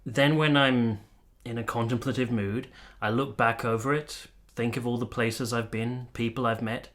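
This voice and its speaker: A courteous, cultured captain from a period radio drama, played by a male actor. He speaks in formal, literary phrases at a medium pitch. His temper is wistful and reflective. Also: fairly even intonation, moderate pace, a bit bright, natural voice. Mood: polite, tentative. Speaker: actor and narrator in a radio play. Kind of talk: dramatic radio play acting.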